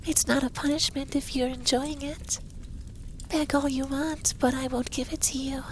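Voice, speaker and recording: A man playing a possessive, stern but caring effeminate dragon lover in an intimate ASMR roleplay, soft and breathy with whispers. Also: very high-pitched, level tone, normal speed, slight background noise. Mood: teasing. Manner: commanding, teasing, tender.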